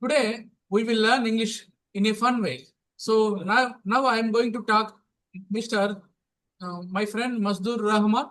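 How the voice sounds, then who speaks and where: Indian man hosting a halting English chat. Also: high-pitched, fairly even intonation, a bit bright. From Telangana, India. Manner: halting, starts most lines with "so". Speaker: host. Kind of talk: casual English practice chat.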